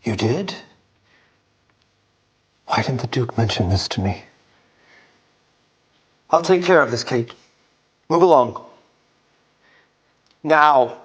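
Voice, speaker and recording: A man's voice: mid-range voice, lively intonation, slow pace, pauses often, slightly rough. Stern, calculating noble father. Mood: stern, commanding. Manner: commanding, clipped, prodding with questioning hums. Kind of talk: period audio drama acting.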